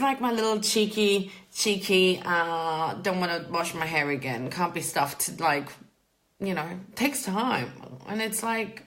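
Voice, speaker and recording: A woman's voice: mid-range voice, a bit bright, a little hiss or hum. A German-born woman, a native German speaker with a mixed English and Australian accent, chatting informally and a little sweary as she hosts a casual livestream and greets viewers. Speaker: host, mindset coach.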